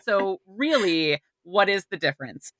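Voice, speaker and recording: A woman's voice: mid-range voice, sing-song, a little slow, a bit bright, no echo. A woman co-hosting a podcast in casual panel chat, with a playful, joking way of talking. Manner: playful, jokey. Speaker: podcast co-host.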